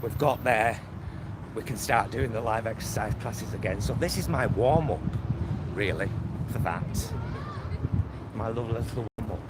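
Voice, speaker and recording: A man's voice: fairly low pitch, normal speed. A man with a Greater Manchester accent chatting casually in a rambling, self-interrupting way, as a vlogger out on a walk. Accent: Northern English, Greater Manchester. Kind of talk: casual walking vlog chat. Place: outdoors, walking by roads and motorway. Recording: outdoor recording with loud traffic noise. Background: loud traffic and outdoor ambience.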